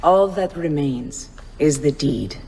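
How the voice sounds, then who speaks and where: A woman's voice: sits low, slow pace, warm tone, slightly rough, exaggerated voice. A shrewd madam who mentors with cool poise. Her voice carries long reverb, in the manner of a game cutscene. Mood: cool, approving. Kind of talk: video game dramatic acting.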